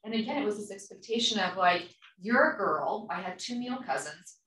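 A woman's voice: mid-range voice, animated, brisk, pushed and bright. A woman from Croatia speaking as a panelist, candid and blunt, telling a personal story. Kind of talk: panel discussion. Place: conference room. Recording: echoey room from far off.

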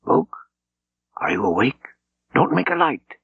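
A man's voice: mid-range voice, big pitch swings, a little slow, vocal fry, slightly rough, dry recording, voice pushed up, full character voice. A man voicing an urgent, earnest, weary eighteen-year-old resistance fighter in an audiobook. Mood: hushed, urgent. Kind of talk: audiobook character dialogue. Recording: dull, slightly lo-fi tape recording.

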